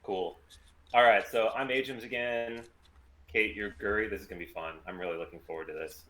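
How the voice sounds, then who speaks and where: A man's voice: on the low side, steady tone, brisk, bright, forceful tone, rough recording. A man casually running an informal group table read and chatting with the readers.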